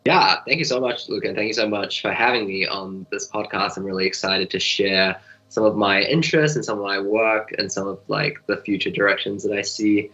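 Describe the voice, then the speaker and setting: A young man, a medical student answering interview questions in a relaxed, rambling way full of fillers. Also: on the low side, fairly quick. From New Zealand. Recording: dull lo-fi audio.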